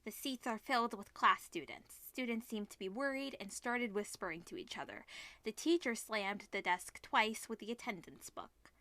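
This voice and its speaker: Bright-voiced woman reading a game aloud. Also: on the high side, moderate pace, no echo. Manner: wry, breaks off to comment on the script. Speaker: let's play host reading a visual novel aloud. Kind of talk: read-aloud narration with casual asides.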